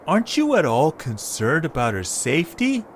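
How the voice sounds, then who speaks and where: A man voicing a small, cautious, food-loving male creature, earnest and expressive in an amateur audio drama. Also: on the high side, moderate pace. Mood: worried. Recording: background noise, ambience.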